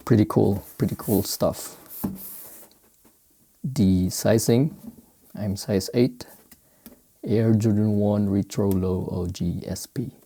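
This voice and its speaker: A man from the Philippines with a Filipino accent, speaking non-native English in casual, halting unboxing commentary as he searches for words. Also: fairly low pitch, steady tone, mellow. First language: Filipino language. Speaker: sneaker unboxing vlogger. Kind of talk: casual unscripted product commentary.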